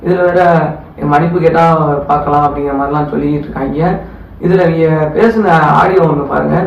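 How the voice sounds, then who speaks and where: A man's voice: fairly high pitch, a little fast, gravelly. A man giving casual, colloquial and critical commentary as a video host. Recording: distant, echoey, dull with faint noise.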